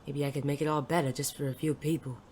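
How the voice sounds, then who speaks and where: A performer playing a world-weary, self-doubting male private eye and war veteran in an audio drama, gruff, hesitant and prone to swearing. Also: mid-range voice, little pitch movement, fast, darkish tone, natural voice. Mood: troubled, despairing. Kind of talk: intimate audio drama dialogue. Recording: clearly noisy background ambience.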